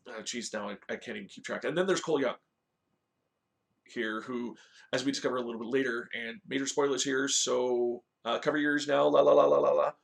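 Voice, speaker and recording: A man's voice: mid-range voice, gravelly. A man talking casually as the host of a review show, recapping in a conversational, informal way. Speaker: host of a video game movie review show.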